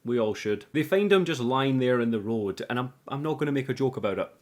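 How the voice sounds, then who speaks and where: A man's voice: mid-range voice, expressive, quick pace. Man giving a casual, sweary movie recap. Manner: sweary, enthusiastic, informal. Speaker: movie review host.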